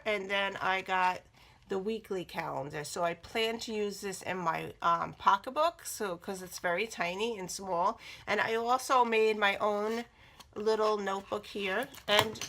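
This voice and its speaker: Slightly raspy woman, bright chatty vlogger. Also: medium pitch, a little slow. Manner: rambling, many ums and restarts. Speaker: book vlogger. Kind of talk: casual chat showing items to camera.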